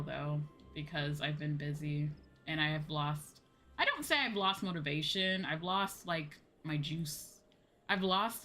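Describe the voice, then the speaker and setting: Bright-voiced young woman streamer. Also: low voice. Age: young adult. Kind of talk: casual livestream chat while gaming. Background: music.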